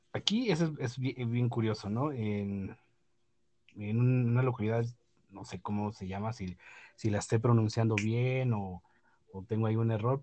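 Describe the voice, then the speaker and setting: Low-voiced hesitant man. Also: warm tone. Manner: hesitant, many fillers and restarts, laughs at own remarks. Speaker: podcast host. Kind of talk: casual news chat.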